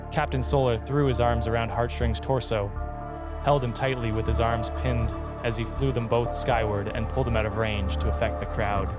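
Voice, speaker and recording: A man narrates a story dramatically as a podcast host. His voice is mid-pitched, and loud music plays behind it throughout. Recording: loud background music under the voice.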